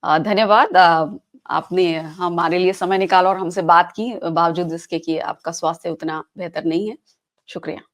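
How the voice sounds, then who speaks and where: Woman interviewer, lowish voice, polite n formal, bit hesitant. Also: fairly even intonation, normal speed. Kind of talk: news interview.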